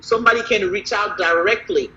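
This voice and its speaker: A woman's voice: mid-range voice, fairly quick. Affirming woman host, dull lo-fi call. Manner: warm and affirming, with frequent "mm-hmm" and "okay". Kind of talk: casual chat on a live video show.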